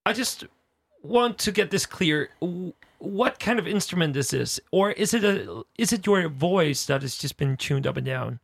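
A male interviewer leads a relaxed music chat and keeps the guest at ease with encouragement. His voice sits a little high and has a gravelly edge. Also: moderate pace. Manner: friendly, encouraging. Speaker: radio host. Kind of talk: casual interview.

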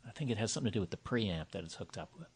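A man's voice: fairly low pitch, lively intonation, rapid-fire. A man hosting a podcast, asking casual questions and teasing as he interjects. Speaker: podcast host.

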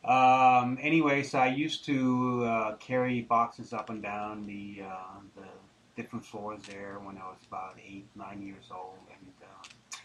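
Low-voiced middle-aged man, noisy recording. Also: a bit bright. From Denmark. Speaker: interview guest, tobacco businessman. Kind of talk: conversational interview, recounting. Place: studio, live interview. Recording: clear background noise.

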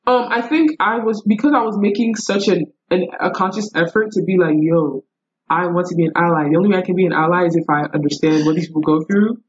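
A young woman telling stories in casual, slangy, animated talk with Nigerian turns of phrase. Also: lowish voice, fast, lo-fi sound. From United States. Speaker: podcast guest. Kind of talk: casual conversational storytelling.

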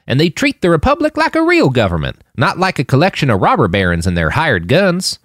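A man voicing a gruff, pious older Texan militia driver with folksy Southern speech in an audiobook. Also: a little high, wildly swooping pitch, a little fast, fairly bright tone, dry recording, studio quality, voice pushed up. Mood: scornful. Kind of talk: audiobook character voice.

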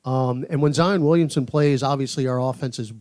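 A man's voice: medium pitch, brisk, darkish tone, no echo. Man giving emphatic basketball analysis, close dry sound. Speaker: basketball podcast panelist and analyst. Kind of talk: conversational sports analysis.

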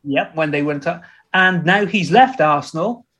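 A man's voice: on the high side, moderate pace, a bit bright. A man co-hosting a casual football podcast, teasing and joking with his co-host and laughing often. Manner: teasing, jokey, laughs a lot.

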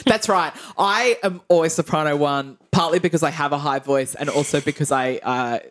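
A woman's voice: deep voice, normal speed, slight background noise. A woman co-hosting a casual chat about audition songs, laughing often and trailing off mid-sentence. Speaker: podcast co-host, singer.

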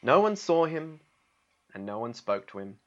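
An Australian man narrates a picture book in an easygoing, conversational way. His voice sits at a middle pitch. The recording is a little dull and lo-fi. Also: very animated, normal speed, a bit bright. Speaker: guest storybook reader. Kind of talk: storybook narration with casual asides.

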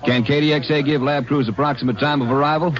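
A man's voice: mid-range voice, steady tone, fast, background noise, natural voice. A man acting a matter-of-fact Texas Ranger in a 1950s radio drama. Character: calm male Texas Ranger. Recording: muffled old radio broadcast.

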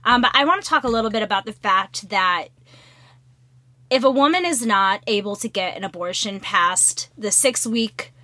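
A woman co-hosting a podcast in casual chat, slightly hoarse and congested, rambling and swearing casually. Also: medium pitch, normal speed, sharp tone, no room sound. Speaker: podcast co-host. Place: small closet.

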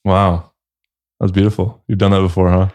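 A man's voice: deep voice, little pitch movement, brisk, a little mellow, smooth, no echo. A man hosting a podcast, talking casually in an earnest, affectionate and laid-back way. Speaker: podcast host. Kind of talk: casual podcast chat and announcements.

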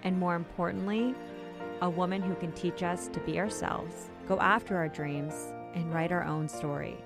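A woman hosting a podcast, reading a scripted intro and then chatting with her guest in an upbeat, expressive way. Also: fairly low pitch, moderate pace, creaky, over music. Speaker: podcast host. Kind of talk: scripted intro narration and interview chat. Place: home closet.

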